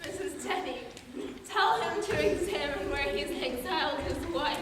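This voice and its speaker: A young woman playing a gentle but defiant committed wife and mother in a school stage play. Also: sits high, moderate pace, crowd noise, lightly acted. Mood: reproachful. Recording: distant echoey school auditorium, noisy.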